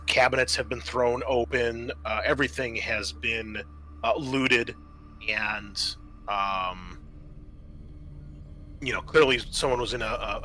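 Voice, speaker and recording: A man with a slightly low, very bright voice works as a game master, narrating a tabletop scene. He talks conversationally and haltingly, circling back to restate details, with faint noise behind him. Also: low-quality recording.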